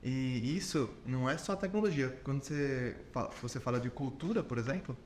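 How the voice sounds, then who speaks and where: A Brazilian man hosts a podcast, laying out his ideas in a calm explanatory way. He often asks his listener whether they follow. His voice sits at an ordinary middle pitch. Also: a little fast, a little hiss or hum. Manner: explanatory, ends points with "entendeu?". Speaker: podcast host. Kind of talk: conversational podcast interview.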